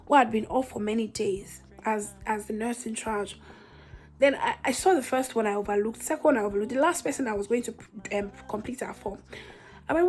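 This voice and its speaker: A woman with a Nigerian accent speaks straight to her viewers in a somewhat high voice. She is emphatic and frank, making her points like a personal vlog and punctuating them with rhetorical questions. Faint music plays behind her. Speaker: senior nurse and vlogger. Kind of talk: candid vlog monologue to viewers.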